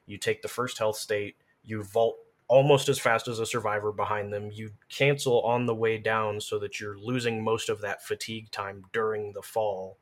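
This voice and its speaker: A man's voice: fairly low pitch, fairly even intonation, normal speed, few pauses. A man chatting casually as a guest, explaining video game play in detailed, analytical fashion. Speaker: podcast guest, gamer.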